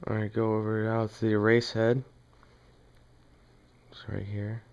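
Man, monotone delivery, clear smooth voice, casual how-to demonstration, halting plain speech, small room at home. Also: fairly low pitch, muffled recording. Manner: plain, halting, talking while working with his hands. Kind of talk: casual hands-on tutorial talk.

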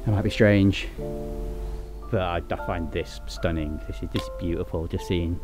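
Wry, deep-voiced man exploring ruins. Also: fairly even intonation, moderate pace, loud music behind the voice. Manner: wry, chatty, marvels at decay. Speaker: urban explorer vlogger. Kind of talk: on-location exploring commentary and voice-over narration. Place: outdoors and in derelict sheds.

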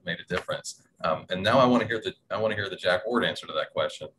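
Low-voiced man over noisy video call. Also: fast, a bit bright. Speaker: panelist, audio drama producer. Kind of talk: casual panel chat. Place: indoor room, on a video call. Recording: video call with clear background noise.

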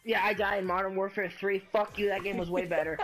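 A man's voice: high voice, little pitch movement, fast talker. Crude, taunting young man prank calling. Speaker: prank caller. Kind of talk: prank phone call. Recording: music laid over the voice.

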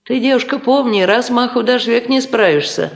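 Sly old peasant mother, coaxing folksy wheedle, highish lively voice, roomy old radio drama. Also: normal speed, gravelly. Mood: sly, insinuating. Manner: sing-song folksy wheedling. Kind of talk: Soviet 1950s radio play acting. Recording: roomy 1950s radio recording.

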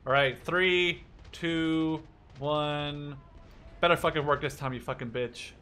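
Young man, higher-pitched bright voice, excitable sweary gameplay commentary, clear background noise. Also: takes it slowly. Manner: excitable, swears freely, talks to himself. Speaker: gaming YouTuber. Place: small room at home.

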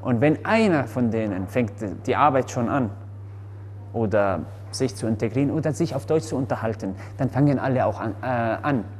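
A man's voice: medium pitch, lively intonation, normal speed, a little mellow. Young guy, earnest, some echo in a wooden room, faint hiss. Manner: earnest, slightly broken German with self-corrections. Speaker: interviewee, restaurant worker. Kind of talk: interview. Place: wooden mountain hut restaurant, indoors.

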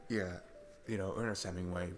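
A young man playing an awkward, chatty single dad on a date in an ASMR roleplay, rambling haltingly and self-deprecatingly. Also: sits low, steady tone, natural voice. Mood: flustered. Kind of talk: ASMR boyfriend-style roleplay, casual improvised-sounding chat. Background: background music.

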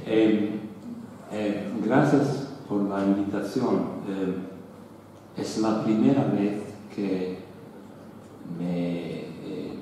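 Slow, hesitant Italian man lecturing in a hall. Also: on the low side, mellow. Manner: hesitant, many fillers and self-corrections. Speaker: guest lecturer. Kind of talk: academic lecture. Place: large lecture hall, seated at a panel table. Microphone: table microphone. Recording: roomy hall echo, distant mic, faint hiss.

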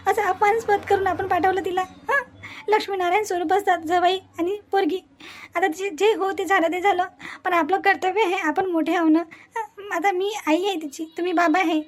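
A woman voicing a worried middle-aged mother in a cartoon family melodrama, coaxing and plaintive, with a Vidarbha Marathi accent. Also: very high-pitched.

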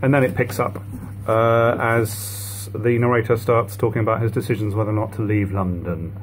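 Low-pitched man in his thirties, calm audiobook reader, slight hiss behind, home webcam recording. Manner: measured, even reading of old prose. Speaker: reader of a classic book. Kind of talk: unhurried audiobook reading. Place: living room at home.

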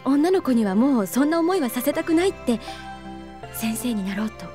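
A voice actress playing a gentle, wistful young woman teacher in an anime audio drama, soft and reflective. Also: high voice, expressive, normal speed, faint music behind.

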